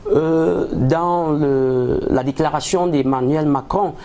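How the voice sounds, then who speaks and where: A man answering as a political analyst in a formal, measured, somewhat hesitant way, dotted with rhetorical tags. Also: on the high side, mellow. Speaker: political analyst, studio guest.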